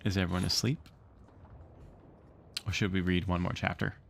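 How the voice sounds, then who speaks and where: A man narrating a storybook read-along with expressive, theatrical storytelling. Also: low voice, moderate pace, creaky, a little hiss or hum. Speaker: streamer reading a book aloud.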